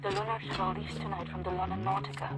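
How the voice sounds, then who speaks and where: A woman plays a caring resistance intelligence officer in a video game. She briefs earnestly and carefully. Music is clearly audible underneath. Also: medium pitch, level tone, quick pace, close to an everyday voice. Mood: urgent, serious. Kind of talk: video game character acting.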